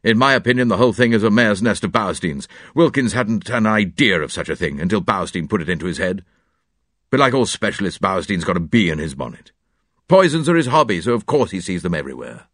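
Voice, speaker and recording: A man's voice: lowish voice, very animated, fast talker, fairly bright tone, no echo, studio quality. A man voicing an irritated, dismissive younger brother with a curt, scornful manner.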